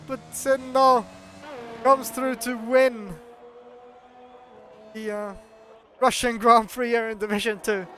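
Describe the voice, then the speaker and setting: A man from Norway with a Norwegian accent, not a native English speaker, giving chatty, excitable commentary on a sim race. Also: extremely high pitch. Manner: chatty, excitable, laughs often.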